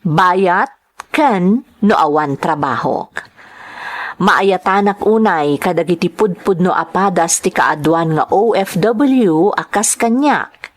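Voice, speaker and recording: A woman's voice: fairly low pitch, lively intonation, takes it slowly. A woman with an Ilocano accent hosting and narrating, reading greetings and a listener's letter aloud. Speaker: host and narrator of a drama channel.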